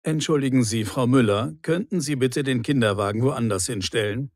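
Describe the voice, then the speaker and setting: A man playing a particular, reproachful neighbour in a clearly articulated language-lesson dialogue. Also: mid-range voice, normal speed, darkish tone, dry recording, studio quality, close to an everyday voice. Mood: complaining. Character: grumbling male apartment neighbour, particular and reproachful.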